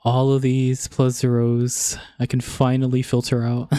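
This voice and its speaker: A man's voice: mid-range voice, completely flat delivery, unhurried, dark tone, smooth, no room sound. A man chatting casually as a gaming livestreamer, peppering his talk with "like". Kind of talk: casual gaming stream chat. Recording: music playing behind. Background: background music.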